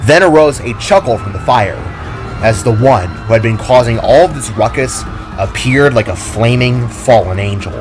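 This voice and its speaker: A man narrating an action story as a dramatic reading, with expressive delivery. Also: medium pitch, moderate pace, crowd noise, low-quality recording. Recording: music under the reading.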